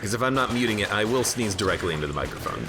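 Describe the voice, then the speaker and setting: Man, casual game commentary, stuffed-up voice, rambling, chatty, animated pitch, music and gunshots behind. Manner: rambling, self-joking, chatting to viewers. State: stuffed up from allergies. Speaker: game streamer. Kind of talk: casual let's-play commentary. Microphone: own desk microphone. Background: game music and gunfire.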